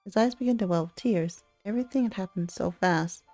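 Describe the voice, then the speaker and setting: A woman's voice: mid-range voice, a little fast, a little mellow. A woman hosting a let's play, reading a visual novel aloud and reacting casually. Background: faint music.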